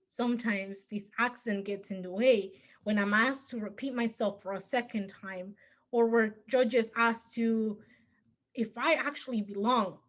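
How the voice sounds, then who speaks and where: Teen girl student, heavy Spanish accent, earnest memorized speech delivery, a little higher voice. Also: fairly even intonation, a little slow. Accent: Spanish-accented English. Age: teens. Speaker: student speaker.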